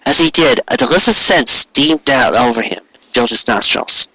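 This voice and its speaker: Bright-voiced man narrating a story. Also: mid-range voice, moderate pace, husky, no echo, poor audio. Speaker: audiobook reader.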